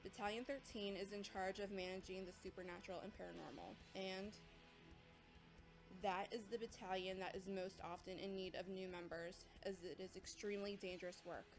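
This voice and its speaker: A woman playing a composed, patient academy instructor, lecturing in a measured, authoritative way as scripted drama. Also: medium pitch, steady tone, music in the background, natural voice. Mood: instructive, composed. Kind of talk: scripted audio drama dialogue.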